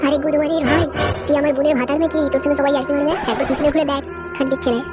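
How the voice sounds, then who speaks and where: A woman's voice: high-pitched, fairly even intonation, racing, natural voice. A woman voicing a teasing, foul-mouthed young friend in a comedy cartoon, slangy, with an Indian Bengali accent. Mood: exasperated. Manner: needling, slangy. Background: loud music.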